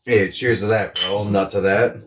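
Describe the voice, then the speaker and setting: A man's voice: on the low side, fairly even intonation, moderate pace. A man co-hosting a podcast, chatting casually about films in an informal, joking way. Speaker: podcast co-host. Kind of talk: casual comedic film chat.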